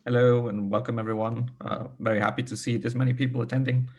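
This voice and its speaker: A man's voice: mid-range voice, level tone, quick pace, darkish tone. A man co-hosting an online panel, sick and losing his voice, speaking with hesitant fillers and wry self-deprecation. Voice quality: husky. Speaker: event co-host. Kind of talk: opening remarks at an online panel. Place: video call.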